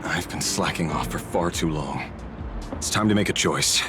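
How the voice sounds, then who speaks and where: A man's voice: a bass voice, normal speed. A man playing a world-weary, bitter detective in a video game, brooding and terse. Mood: resolved. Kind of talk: dramatic video game acting. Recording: background music.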